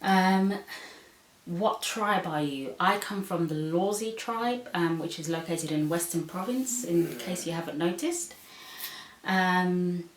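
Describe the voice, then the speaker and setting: A woman's voice: lowish voice, slow, background noise. Chatty woman in an echoey room. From Zambia. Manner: chatty, many "um"s and "so"s. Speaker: vlogger. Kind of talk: casual vlog, reading questions. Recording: echoey room, far from mic.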